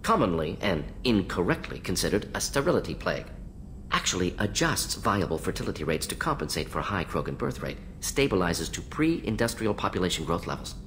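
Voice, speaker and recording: A man's voice: sits low, big pitch swings, brisk, a bit bright, slight background noise, heavily acted. An actor playing a restless male alien scientist in a video game, speaking in clipped, telegraphic sentences without articles. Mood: clipped, brisk.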